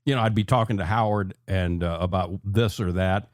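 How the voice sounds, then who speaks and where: Low-voiced older man hosting radio. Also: fairly even intonation, brisk, no room sound, studio quality. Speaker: radio show host. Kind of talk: conversational talk radio hosting. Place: radio studio. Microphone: close studio microphone.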